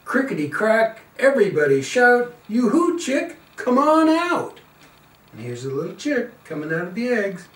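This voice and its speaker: An older man, around seventy, reading a children's picture book aloud in an expressive, singsong and playful way. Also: high voice. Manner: slow, singsong, playful calls with quieter asides. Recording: roomy, a little far from the mic.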